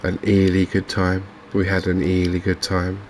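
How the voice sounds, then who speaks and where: A man giving softly spoken, ASMR-style commentary as host of a gaming channel, in a halting, understated way. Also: low voice, completely flat delivery, a little hiss or hum.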